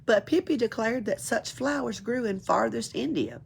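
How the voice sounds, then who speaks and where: A woman reads a children's book aloud in an expressive storytelling way. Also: a little high, moderate pace, gravelly, a little hiss or hum. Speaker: library storyteller.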